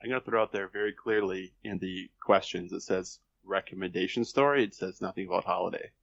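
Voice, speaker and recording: A man's voice: sits low, a little fast, creaky, a bit bright, highs cut off. A man chatting casually as a guest on a podcast, giving recommendations.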